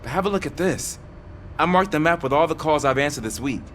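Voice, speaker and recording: A man's voice: on the high side, lively intonation, fairly quick, close to an everyday voice. Man playing an earnest cop, noisy background. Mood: earnest, casual. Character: earnest, helpful male police dispatch officer. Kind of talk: video game cutscene acting.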